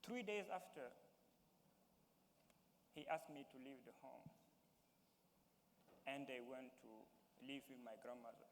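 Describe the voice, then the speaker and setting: A young man from Mali with a Malian accent, giving halting personal testimony as a town hall panelist and searching for words in English. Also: mid-range voice, lively intonation. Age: young adult. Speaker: youth panelist. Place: large hall. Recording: reverberant hall with faint noise.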